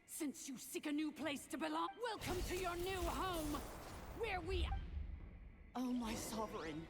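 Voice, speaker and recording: A woman's voice: high-pitched, animated, measured pace. A woman voicing a haughty, wrathful female machine villain in a video game, with grandiose, menacing delivery. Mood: wrathful, defiant. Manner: grandiose, menacing, theatrical declamation. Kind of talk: dramatic video game voice acting. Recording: loud game music behind.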